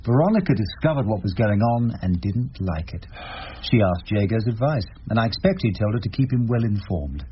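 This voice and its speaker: An actor playing a shrewd, wry older English barrister in a radio drama, speaking deliberately and rhetorically. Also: male voice, lowish voice. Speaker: lead character and narrator. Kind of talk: BBC radio drama acting. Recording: dull radio recording with hiss.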